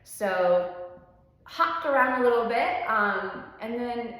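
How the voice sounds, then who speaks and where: A woman's voice: on the high side, animated, slow pace, a bit bright. A woman in her thirties, a pilates instructor originally from France, talking about herself in an easygoing way with frequent ums and open enthusiasm. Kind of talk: relaxed personal introduction. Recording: very echoey room with long reverb.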